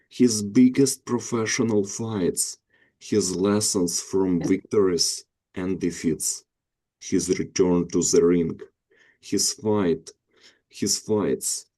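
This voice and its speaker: A man hosting his channel, reading prepared interview questions slowly and carefully. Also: sits low, warm tone.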